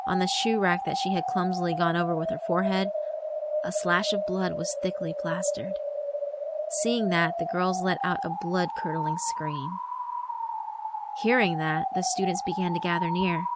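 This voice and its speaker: A woman's voice: on the low side, heavy background noise. A woman delivering dramatic scripted narration as the narrator of a horror visual novel story. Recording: prominent music behind. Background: background music.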